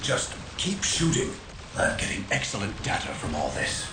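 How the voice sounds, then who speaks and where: A rebel crew's brainy technician for a video game. The voice is deep and echoes, with loud music behind it. The delivery is composed, analytical and methodical. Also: male voice, huge pitch swings, creaky, heavily acted. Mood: calm, focused. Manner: measured, analytical. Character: calm, methodical male tech genius of rebel crew. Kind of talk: video game character acting. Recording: echoing voice under loud music.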